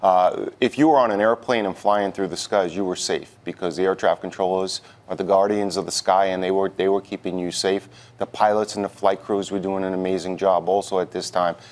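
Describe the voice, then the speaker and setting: A man answering questions as a guest in a live TV news interview, speaking haltingly with repeated words. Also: deep voice, steady tone, a little mellow. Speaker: union president, interview guest.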